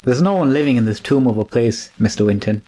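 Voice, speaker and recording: An actor playing a deferential, uneasy but obedient manservant in an old-time radio drama. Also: male voice, mid-range voice, lively intonation, fast, background noise, natural voice. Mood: glum, polite.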